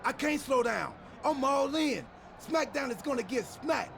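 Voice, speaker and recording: A fanatically loyal, goofy pro wrestler in a game cutscene. His very high, creaky voice rambles on with boastful, excitable zeal. There is clear noise behind him. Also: a little slow, exaggerated voice. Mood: manic, excited. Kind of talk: video game cutscene acting. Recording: clearly noisy background.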